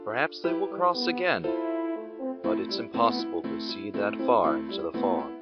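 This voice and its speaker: A man narrating an audio drama in a reflective, philosophical way. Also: medium pitch. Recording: muffled lo-fi recording with loud guitar music behind.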